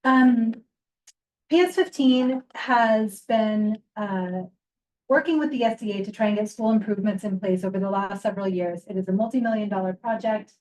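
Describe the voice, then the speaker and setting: A woman with a soft, mellow, dark voice gives an update in an online meeting. She stops often with ums as she reports. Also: mid-range voice, poor audio. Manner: halting, with fillers. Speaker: council member giving an update.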